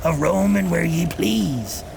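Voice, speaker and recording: A man voicing a cursed, ghostly old pirate captain, telling grim tales in a theatrical, gravelly pirate dialect with an ominous, grandiose manner. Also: a little high, noisy background, exaggerated voice. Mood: ominous, challenging. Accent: pirate-style dialect. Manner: ominous, grandiose, deliberate rhetorical delivery. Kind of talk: theatrical scripted monologue.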